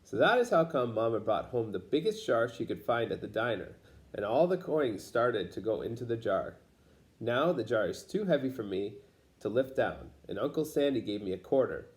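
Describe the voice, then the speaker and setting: A man reading a children's picture book aloud as a storyteller, pausing to explain things to young listeners. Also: medium pitch, very animated. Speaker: storybook reader.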